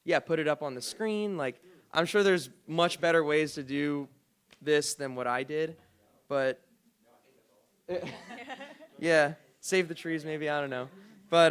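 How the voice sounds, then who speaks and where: A man with a smooth, resonant voice speaks to a forum through a microphone. He presents loosely, thinking aloud and hedging as he goes. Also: on the high side, a bit bright. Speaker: dance group director presenting to a forum. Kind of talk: casual presentation.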